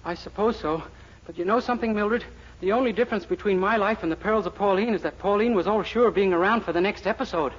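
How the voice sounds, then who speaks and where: A man's voice: high-pitched, fast, natural voice. An American man acting a naive, eager screwball young candidate in a 1940s radio situation comedy, earnest and boyishly flustered. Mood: bewildered. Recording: noisy, muffled 1940s radio recording.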